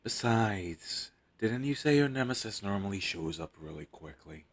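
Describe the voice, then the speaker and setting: A man's voice: on the low side, lively intonation, moderate pace. A man playing a captured superhero posing as a hostage in an intimate audio roleplay, wry, teasing and flirtatious.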